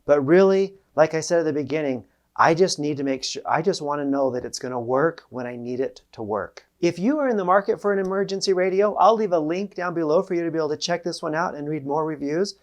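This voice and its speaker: A man's voice: fairly high pitch, quick pace, hardly any pauses. A man hosting a casual product review, talking to viewers in a plain-spoken, explanatory way. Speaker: host of a preparedness product review channel.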